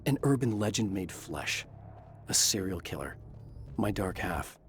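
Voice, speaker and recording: An actor playing a brooding, tormented novelist in a video game, narrating gravely and with measured delivery like noir. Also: male voice, sits low, sing-song, slight background noise. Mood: grim. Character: brooding tormented bestselling crime novelist hero, adult man. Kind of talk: scripted first-person noir narration.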